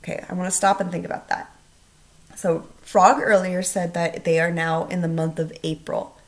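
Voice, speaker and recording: A woman teacher reading a storybook aloud to children, expressive and instructional, asking questions as she goes. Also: on the low side, normal speed. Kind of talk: storybook read-aloud with questions to young listeners.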